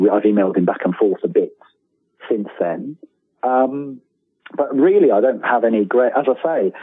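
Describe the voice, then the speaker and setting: A British man in middle age speaks as a chatty interview guest over a narrow, phone-like line. His voice is low and somewhat husky, and he tells stories in a self-deprecating way, stumbling into repeated words. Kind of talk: casual anecdotal interview. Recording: thin phone-like line, roomy echo.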